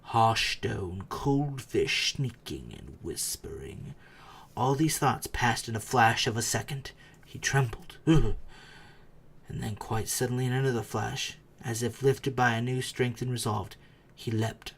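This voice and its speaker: A man's voice: medium pitch, unhurried, creaky, fairly bright tone, husky. A man reading a storybook aloud as a dramatic narrator, with theatrical, suspenseful delivery. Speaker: audiobook reader.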